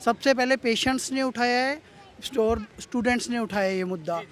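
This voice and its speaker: A man's voice: extremely high pitch, normal speed, fairly bright tone. A man in an outdoor street debate, explaining his case at length and argumentatively, mixing in English words. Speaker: street interviewee.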